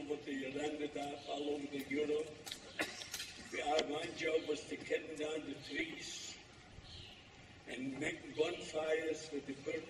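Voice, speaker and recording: An older man from Poland giving a slow, halting, plainspoken personal testimony as a keynote speaker at a live event. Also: a little high. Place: large hall, live event. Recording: echoey hall from far off with noise.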